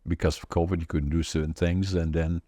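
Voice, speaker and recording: A Dutch-accented man in his eighties hosts a relaxed podcast chat. His voice sits very low and mellow, and it sounds dry and close. He tells his stories in a halting, rambling way, stopping and restarting often. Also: no room sound. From Northern Netherlands. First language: Dutch regional dialect. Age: 80s. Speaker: podcast host. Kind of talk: casual conversational storytelling.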